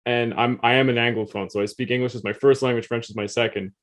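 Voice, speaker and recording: A man's voice: medium pitch, fairly even intonation, fast talker, fairly bright tone. A man from Quebec chatting on a podcast in a laid-back way, often trailing off and restarting his sentences. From Quebec, Canada. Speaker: podcast co-host. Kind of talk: casual chat.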